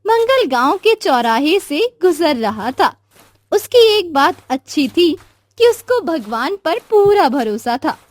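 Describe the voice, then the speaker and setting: Female storyteller for a moral tale: very high voice, slow and lively, over music. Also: dry recording. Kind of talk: scripted moral story narration. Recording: music under the voice. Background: background music.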